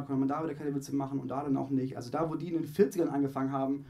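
A man's voice: mid-range voice, warm tone. A young man, a guest comedian, chatting on a small living-room stage before an audience with a handheld mic, wry and self-mocking. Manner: quick, wry and self-mocking, acts out quoted voices. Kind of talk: casual onstage chat.

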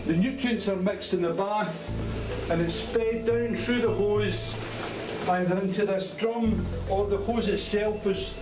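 A man's voice: high-pitched, steady tone, unhurried, over music, poor audio. A man, a police officer, walking through a house and explaining what he sees, with many fillers and restarts. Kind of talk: on-site walkthrough commentary. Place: inside a house, walking room to room. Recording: loud background noise.

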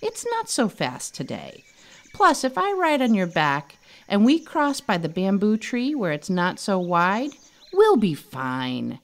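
A woman's voice: medium pitch, huge pitch swings, slow, heavily acted. A woman voicing a mischievous, eager little boy monkey in a storybook, exclaiming and wheedling. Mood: pleading. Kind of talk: storybook character voice.

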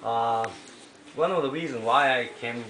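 A middle-aged man from Japan with a Japanese accent, giving a personal testimony to a small group at a home meeting, pausing often and clearing his throat. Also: mid-range voice, a bit bright. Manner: halting, with frequent throat-clearing and filler pauses. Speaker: member sharing a testimony. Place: living room at a home gathering. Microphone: camera across the room. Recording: echoey room with background noise.

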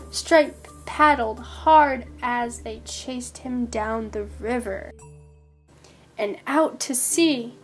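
A woman with a fairly high voice narrates a children's storybook. Her phrasing is careful, expressive and unhurried, with music softly behind her. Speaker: volunteer storybook reader.

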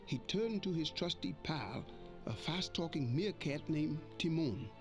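Storybook narrator, man, unhurried and expressive, lively pitch. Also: music in the background.

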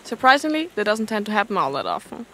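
A woman acting a wry astronaut, medium pitch, dry sarcasm, weary, audio drama, faint background noise. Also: sing-song, fast, a bit bright. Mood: wry, baffled.

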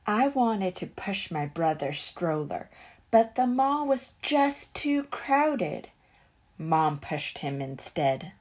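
A woman reading a children's picture book aloud as a storyteller, in an expressive and deliberate way. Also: medium pitch, a little hiss or hum.

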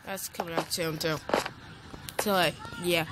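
A young woman talking casually and haltingly as a game video creator, with stammers. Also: on the low side, fairly even intonation. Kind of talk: casual game roleplay commentary. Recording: noisy home screen recording, clicks.

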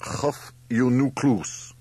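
A man's voice: medium pitch, extremely slow, darkish tone, husky, voice pushed up. A man voicing an angry, officious customs officer with a foreign accent, ominous and emphatic, drawing out his words. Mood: impatient.

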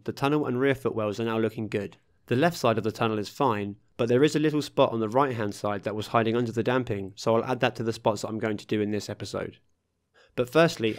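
Man narrating his car restoration. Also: mid-range voice. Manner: steady, matter-of-fact explaining of workshop steps. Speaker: host of a car restoration channel. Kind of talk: scripted voice-over narration.

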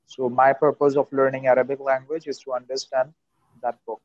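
A man in his thirties from Pakistan, an Urdu speaker, talking on an earphone mic over a video call, measured with frequent uh pauses. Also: mid-range voice, little pitch movement, darkish tone, no room sound. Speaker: English learner and channel host. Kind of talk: casual video call conversation. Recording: dull, dry video call audio.

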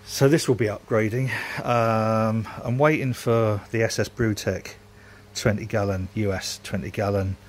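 A man's voice: on the low side, steady tone, measured pace, hardly any pauses, a little hiss or hum. A man, rambling casually and self-mockingly while filming his home brewery workshop on a handheld phone.

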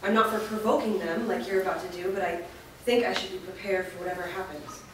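A woman's voice: lowish voice, fairly even intonation, quick pace, natural voice. A woman playing a cautious, defensive armed villager in a staged play reading. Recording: echoey room, far mic, clear noise.

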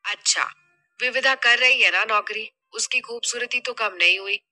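A scheming, money-minded middle-aged mother played by a woman from an audio drama. She scolds and nags with exasperation in a high, forceful, very bright voice. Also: moderate pace, faint music behind. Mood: nagging.

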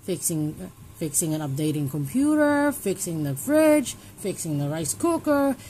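A woman's voice: on the low side, very animated, unhurried, dark tone, slight background noise. A young woman from Hong Kong talking casually at home in a personal vlog, rambling and restarting her sentences as she thinks aloud. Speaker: vlogger. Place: home, indoors.

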